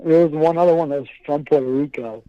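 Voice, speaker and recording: A young man from Boston, a guest on a casual chat, laughing often and talking in a relaxed way over a remote call. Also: a little high, fast, clear, resonant tone, no echo. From Boston, USA. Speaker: guest, fighter. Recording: dry, dull call audio.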